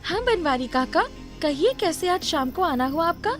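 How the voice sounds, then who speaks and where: A woman voicing an earnest, respectful young village girl in an animated moral story, speaking emphatically. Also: very high-pitched, moderate pace, faint music behind, pitched above the performer's own voice. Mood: insistent, surprised.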